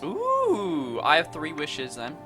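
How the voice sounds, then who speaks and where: A man's voice: fairly high pitch, huge pitch swings, takes it slowly. Guy gamer commentary, casual n jokey, voice goes up and down a lot, game music behind. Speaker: gaming streamer. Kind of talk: casual reactive gameplay commentary, reading choices aloud.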